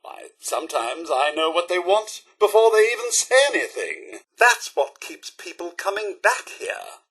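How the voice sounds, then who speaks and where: A loyal, fussy robot butler for a video game, speaking in a formal British accent. The voice sits fairly high and is bright and crisply forceful, with very lively rises and falls. The manner is prim, theatrical and sardonic. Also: male voice, unhurried, heavily acted. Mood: eager, proud. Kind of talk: video game companion voice acting.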